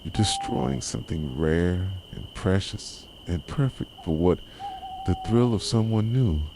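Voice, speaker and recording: A man playing a possessive, wounded yandere boyfriend in an intense, pleading ASMR roleplay monologue, with a soft voice. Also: low voice, animated, a little slow, creaky, heavily acted. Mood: bitter, wounded. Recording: noisy track with night crickets and owls. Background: night ambience of crickets, owls and insects.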